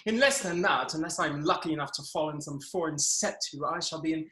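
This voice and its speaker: A woman's voice: low-pitched, fairly quick, a bit bright. A woman playing a gloomy, self-pitying, lovelorn army captain in a Victorian farce reading, melodramatic, recorded in an echoey room. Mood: morose. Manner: mournful, melodramatic.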